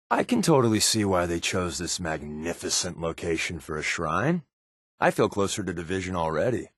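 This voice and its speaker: A man's voice: on the low side, no echo. A man voicing a sardonic secret agent in a post-apocalyptic video game, with dry, quippy, playfully sarcastic delivery. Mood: dry, reflective. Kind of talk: in-game companion barks and commentary.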